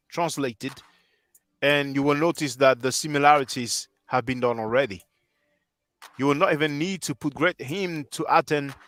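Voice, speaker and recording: A man's voice: a little high, fairly bright tone. A man in his thirties whose first language is French, hosting a livestream and lecturing earnestly while answering chat on a headset mic. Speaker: livestream host.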